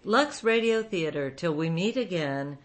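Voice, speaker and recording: A woman's voice: fairly low pitch, animated, unhurried. A woman hosting a radio show, reading a scripted introduction.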